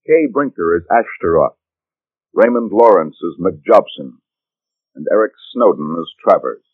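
A tinny vintage radio broadcast with a dry, close sound carries a male announcer. He reads in a low, formal, measured voice, in 1940s style. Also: dry recording.

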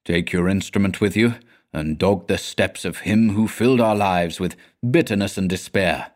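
A solemn old teacher as a male narrator reads him speaks very low and hushed. He is devoted and grave, delivering a deliberate farewell in a gothic storybook. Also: natural voice. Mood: solemn, urging.

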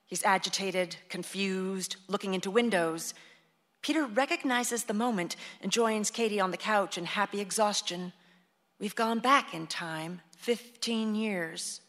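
An actress as the composed narrator of a radio play, reading scripted stage directions. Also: female voice, medium pitch, measured pace, fairly bright tone, lightly acted. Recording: long hall reverb.

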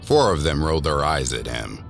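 Extremely deep male horror narrator. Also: very animated, normal speed, creaky, a bit bright, soft background music. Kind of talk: scripted story narration, horror audio drama.

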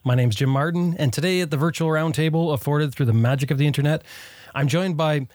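A man's voice: a little high, fast talker, a little mellow, no echo, studio quality. A Canadian man hosting a casual roundtable podcast, introducing guests with a teasing, friendly manner. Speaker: podcast host. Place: home studio.

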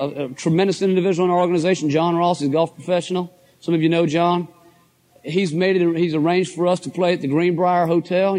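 A middle-aged man with a hoarse voice, giving an enthusiastic, folksy motivational talk to a live audience. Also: sits high, a little mellow. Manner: folksy, enthusiastic, addresses crowd as guys and folks. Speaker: motivational speaker at a business convention.

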